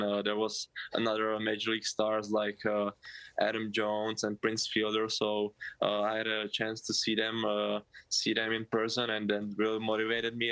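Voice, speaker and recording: A young man with a Czech accent, a native Czech speaker, gives interview answers in a very monotone, slightly low voice. The sound is bright and close. He is hesitant, often repeating words as he goes. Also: no room sound, rough recording. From Czech Republic. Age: 20s. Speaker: college baseball player, interview guest.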